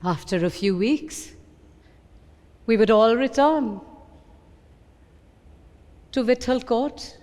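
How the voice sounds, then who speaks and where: A woman with an Indian accent giving a deliberate, expressive dramatic reading of a memoir in a hall. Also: on the high side. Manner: deliberate, expressive storytelling delivery. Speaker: reader at a book event. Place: live reading in an event hall. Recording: reverberant hall.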